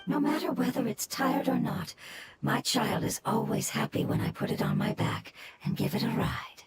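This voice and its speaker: A woman voicing a small, gentle plant spirit who speaks softly and formally, in video game dialogue over game music. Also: fairly high pitch, moderate pace, heavy background noise, close to an everyday voice. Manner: soft, formal, wistful.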